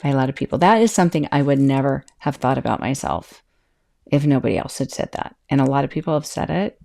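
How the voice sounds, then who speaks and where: Gravelly, mellow-voiced woman podcaster. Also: rumbling low, fast. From California. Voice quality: can be loud and projecting, calm-sounding. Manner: chatty, self-interrupting, laughs often. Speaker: podcast host and yoga teacher. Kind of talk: casual solo podcast talk.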